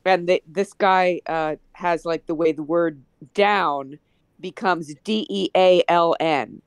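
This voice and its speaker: A woman's voice: on the low side, takes it slowly, clear, resonant tone, dry recording. American woman, dry close sound, casual. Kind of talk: casual chat.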